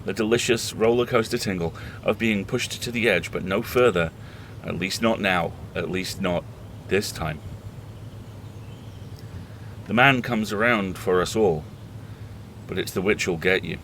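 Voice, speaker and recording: A man playing a weary, reflective horror host, speaking intimately to listeners in a deliberate, halting, earnest audio drama monologue. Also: sits low, fairly bright tone, a little hiss or hum. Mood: somber, ominous.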